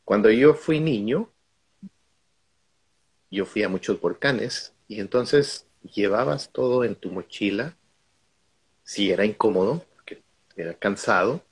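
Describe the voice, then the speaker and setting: A man's voice: on the low side, lively intonation, measured pace, long pauses, husky, poor audio. A middle-aged man from Guatemala, a guest on a video call, telling stories from his own life in a reflective way. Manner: reflective, storytelling. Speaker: guest speaker.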